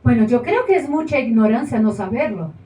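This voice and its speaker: Actress playing a brisk, high-voiced radio presenter. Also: female voice, expressive, warm tone, lightly acted. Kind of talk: stage acting of a radio broadcast. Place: small theater stage. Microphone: far camera. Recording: echoey room from far off, faint noise.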